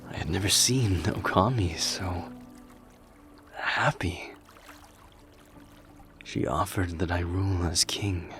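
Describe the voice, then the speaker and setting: Deep voice, man acting as earnest warrior king, measured pace, solemn, heartfelt, audio roleplay.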